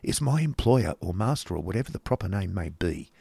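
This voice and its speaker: Man acting an earnest, subdued young gentleman. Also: on the low side, animated, moderate pace, creaky, no echo. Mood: subdued, reassuring. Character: earnest, polite, affectionate young gentleman, uneasy.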